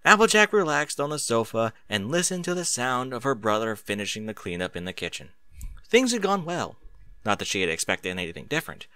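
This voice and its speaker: Male audiobook narrator, scripted fiction, crisp bright delivery with lively pitch movement. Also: dry recording.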